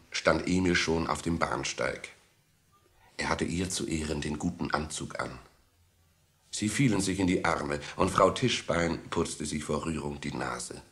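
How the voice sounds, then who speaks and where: Very deep-voiced male storyteller. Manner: expressive storyteller addressing young listeners. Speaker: narrator. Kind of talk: scripted storytelling narration for a children's audio drama.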